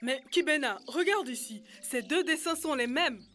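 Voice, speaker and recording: A woman's voice: high-pitched, lively intonation, normal speed, fairly bright tone. A woman voicing a questioning, observant young child in a cartoon dub. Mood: curious. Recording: prominent music behind voice.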